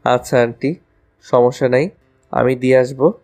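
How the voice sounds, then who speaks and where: A man's voice: medium pitch, fairly even intonation, normal speed, a little mellow, faint music behind, lightly acted. A man playing a cheeky, lovestruck college student with a flippant, teasing way of talking in an audio love story. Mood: polite.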